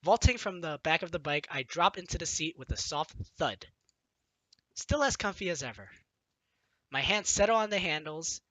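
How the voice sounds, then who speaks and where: A man's voice: on the high side, normal speed, dry recording, poor audio. A man hosting a let's play, reading game text aloud theatrically and commenting on it. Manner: animated, theatrical. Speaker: gaming video host. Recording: music behind the voice. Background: game music.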